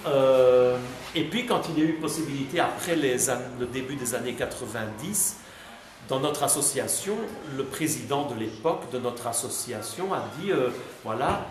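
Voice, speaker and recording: A man's voice: medium pitch, moderate pace, crowd noise. A man talking freely as a visiting guest before a class, chatty and hesitant, full of fillers and restarts. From Belgium. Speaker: visiting guest answering students. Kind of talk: relaxed spontaneous talk. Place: high school classroom. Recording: echoey classroom with background noise.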